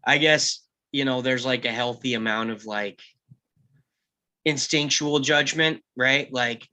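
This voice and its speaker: Bright-voiced man chatting casually. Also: medium pitch, a little slow. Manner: rambling, hedging with frequent "you know". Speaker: table-read panelist. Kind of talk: casual discussion.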